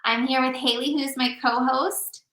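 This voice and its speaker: A woman's voice: high voice, fairly even intonation, normal speed, fairly bright tone. A woman hosting a relationship podcast as an intimacy coach, talking casually and guiding the talk, a little scattered. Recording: echoey room.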